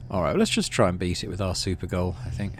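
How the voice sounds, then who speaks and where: A man's voice: low voice, sing-song, fast talker, a little hiss or hum. A man casually hosting a game playthrough, reading narration aloud and muttering his thinking as he plays. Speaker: gaming channel host.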